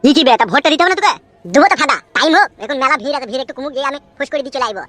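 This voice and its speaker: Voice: high voice, expressive, rapid-fire, pushed and bright. A performer with a female-sounding voice playing a brash, foul-mouthed cartoon villager who argues in crude slang. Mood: irritated, bickering. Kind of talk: comic cartoon dialogue.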